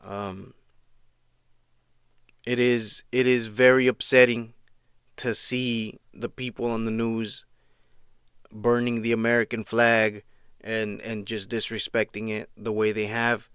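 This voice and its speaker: A man's voice: fairly low pitch, flat delivery, slow pace, pauses often, fairly bright tone, clean tone, no echo, clean studio sound. A man who grew up in Eastern Washington, giving a casual spoken commentary and often checking in with "right?". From Mexico, raised in Eastern Washington. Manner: conversational, frequent restarts and "right?" check-ins.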